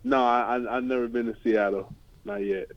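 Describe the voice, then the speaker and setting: A man's voice: mid-range voice, steady tone, normal speed. Young guy from the states, athlete doing casual interview, says you know and like a lot, muffled call sound. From United States (California and Ohio). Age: 20s. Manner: informal, repeats "you know" and "like" often. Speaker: American football player, linebacker. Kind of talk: casual press interview answers. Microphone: remote conference call. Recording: dull, lo-fi conference call audio.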